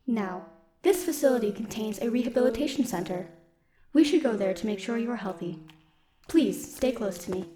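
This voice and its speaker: A woman playing a stoic, dutiful military robot in an audio roleplay, speaking in a formal, measured, protective way. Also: fairly high pitch, animated, natural voice. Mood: composed, caring. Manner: formal, measured, literal wording. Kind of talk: scripted audio roleplay, speaking softly to one listener.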